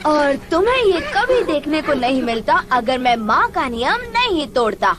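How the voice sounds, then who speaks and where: A woman's voice: sits high, sing-song, moderate pace. A woman voicing a confident, reassuring young boy in a Hindi cartoon dub, explaining things with assurance. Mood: pleased.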